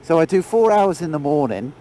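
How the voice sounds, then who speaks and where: A man's voice: on the high side, sing-song, fairly quick, mellow, a little hiss or hum, rough recording. Bunged-up man chatting on a motorbike. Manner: joking, chatty storyteller. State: congested with a cold. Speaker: vlogger riding a motorbike. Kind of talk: casual chat while riding. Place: outdoors on a moving motorbike. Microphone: action camera on the bike.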